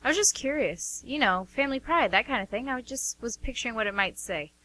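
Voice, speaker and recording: A woman's voice: a little high, animated, fairly quick, sharp tone, poor audio. A woman playing a doting, inquisitive older sister, chatting insistently in an amateur radio drama. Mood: curious, proud. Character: doting, nosy older sister of a grown man.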